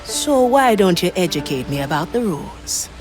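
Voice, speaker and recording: A wry, bold pirate woman, navigator in a video game. Her voice sits a little low and is dryly sardonic, ringing with long reverb over clear background music. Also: big pitch swings, normal speed, a little mellow. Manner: dry, sardonic.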